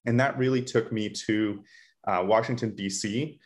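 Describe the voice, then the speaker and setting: A young American man with a fairly low voice gives a conversational online presentation. He explains things earnestly, in the manner of a tutor talking to students. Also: steady tone, normal speed. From Florida, United States. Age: young adult. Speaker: medical tutor and resident presenting a webinar.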